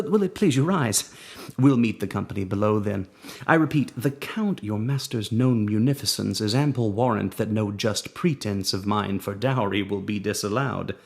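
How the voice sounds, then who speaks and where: A man voicing a proud, coldly jealous aristocratic duke in a dramatic poetry reading, measured with sudden rises and near whispers. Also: fairly low pitch, vocal fry.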